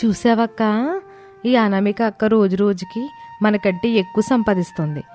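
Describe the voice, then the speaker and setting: A woman's voice: medium pitch, normal speed, a little mellow, natural voice. A woman voicing a jealous, conniving younger sister-in-law in a dramatized moral story. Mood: envious. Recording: music bed under the voice.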